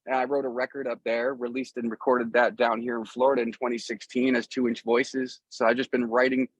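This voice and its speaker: A middle-aged American man being interviewed as a musician, talking casually and earnestly in halting, rambling sentences with repeated phrases. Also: mid-range voice, level tone, no room sound, rough recording. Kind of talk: casual, rambling conversation about a music career.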